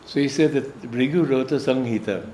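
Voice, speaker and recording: A man's voice: medium pitch, moderate pace, mellow, slight background noise. An American man, a swami and spiritual teacher, recounting a personal anecdote in a meandering storytelling way. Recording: echoey room, faint ambience.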